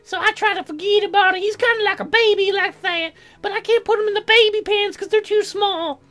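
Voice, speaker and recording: A goofy, childlike colonist woman, played by a man in a very high, squeaky voice. She rambles crudely and excitably like a comic game character, with music playing behind her. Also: fairly quick. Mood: silly, rambling. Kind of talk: comic visual novel character voice.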